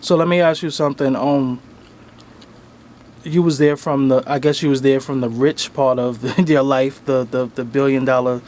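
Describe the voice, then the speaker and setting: A man's voice: mid-range voice, steady tone, normal speed, darkish tone, a little hiss or hum. A man hosting a casual hip-hop interview in a recording studio, asking questions in a laid-back, slangy way. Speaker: interviewer and host.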